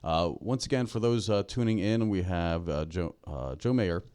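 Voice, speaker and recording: A man hosts a casual podcast interview in a chatty way, full of fillers and quick agreements. His voice sits very low and sounds smooth and resonant. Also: darkish tone. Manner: chatty, with frequent fillers and backchannels. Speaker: podcast host.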